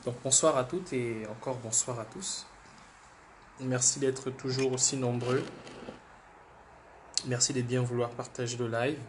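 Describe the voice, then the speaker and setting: A man's voice: mid-range voice, a little hiss or hum. A man who has the flu, hosting a political livestream, repeating himself, restarting words and urging viewers to share. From Gabon. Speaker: livestream host.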